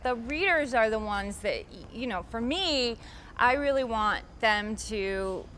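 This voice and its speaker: A woman's voice: mid-range voice, bright, forceful tone, slight background noise. A woman author answering interview questions in a chatty, rambling way, restarting her sentences often and lifting her voice for emphasis.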